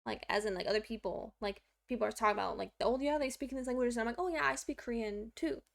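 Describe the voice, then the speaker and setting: A woman's voice: fast, vocal fry. A young woman streaming her Korean study, explaining grammar in a chatty, self-deprecating way with lots of fillers. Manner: talks to a pet in a high baby voice.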